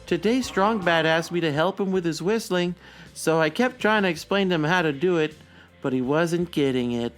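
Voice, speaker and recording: A man giving a deliberate, melancholic dramatic reading of a journal. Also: fairly high pitch. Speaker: reader in a dramatic reading of a fictional blog. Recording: music behind the voice. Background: background music.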